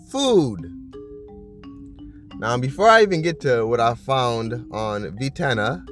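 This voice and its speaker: A man hosting a casual video, talking loosely with fillers and frequent laughs, reading list items aloud, his voice bassy from just waking up. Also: a bit bright. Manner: rambling, laughs often, many fillers. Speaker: vlogger host.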